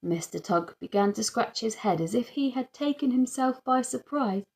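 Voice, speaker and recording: A woman's voice: fairly high pitch, lively intonation, normal speed, creaky, a little mellow. A woman reading a children's audiobook as narrator, telling the story in the first person. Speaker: audiobook reader.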